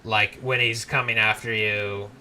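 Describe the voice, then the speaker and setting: A man's voice: fairly low pitch, little pitch movement, a little slow, sharp tone, slight background noise. A man giving casual let's-play commentary, rambling with frequent restarts as he plays. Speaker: gameplay commentator.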